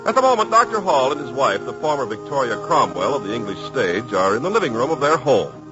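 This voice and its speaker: Lively old-time radio announcer, man. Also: medium pitch, fast talker. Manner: smooth, formal announcing. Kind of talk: scripted radio narration. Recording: dull old radio broadcast with music.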